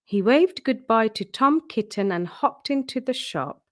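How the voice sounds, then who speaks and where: Female children's read-aloud narrator: unhurried, expressive, with wide swings in pitch. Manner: expressive, deliberate storytelling. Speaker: storyteller.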